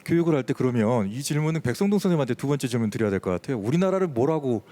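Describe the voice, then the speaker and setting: A man's voice: medium pitch, lively intonation, rapid-fire, mellow. A man moderating a live talk concert on stage, asking guests questions in front of an audience.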